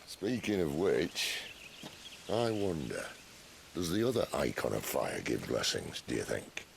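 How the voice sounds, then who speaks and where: An actor playing a worldly, wry rebel leader in a fantasy video game, speaking with measured, sardonic understatement in a gravelly voice. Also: male voice, big pitch swings, slight background noise, full character voice. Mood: wry, teasing. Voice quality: very deep, gravelly voice.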